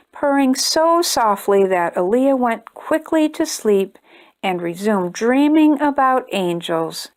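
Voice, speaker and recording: A woman hosting a storytime channel, reading a children's picture book aloud in a gentle, expressive way. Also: high-pitched. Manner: gentle, expressive reading, brighter and chattier when addressing viewers. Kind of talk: read-aloud storytelling for kids.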